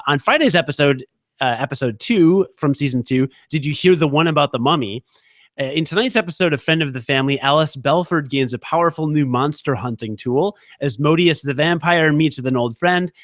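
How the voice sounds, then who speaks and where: Rambling podcast host, dry close mic. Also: male voice, mid-range voice, fairly bright tone, no room sound. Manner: rambling, restarts, many fillers. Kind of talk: casual podcast chat.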